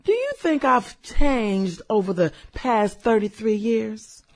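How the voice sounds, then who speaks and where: A woman's voice: a little high, lively intonation, takes it slowly. An actress playing a brash, fun-loving middle-aged former popular girl in a radio drama, sassy and chatty, drawing out her exclamations and hums. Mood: excited, playful. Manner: sassy, drawling exclamations and hums, girlfriend-to-girlfriend banter. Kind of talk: satirical radio drama acting.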